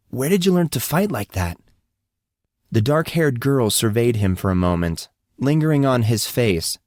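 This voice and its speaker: A man's voice: fairly low pitch, dry recording, clean studio sound. A man reading scripted fiction as an audiobook narrator.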